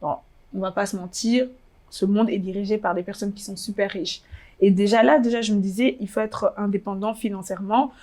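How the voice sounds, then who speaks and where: A woman's voice: medium pitch, steady tone, a little fast, a little mellow. A woman in her twenties from Congo, telling her story in a casual interview, hesitant with many fillers and restarts. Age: 20s. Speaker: interviewee, fashion blogger.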